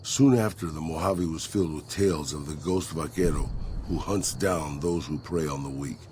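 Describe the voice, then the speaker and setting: Subdued game storyteller: very deep, mellow, somber, faint noise behind. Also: male voice, moderate pace. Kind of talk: scripted game epilogue narration.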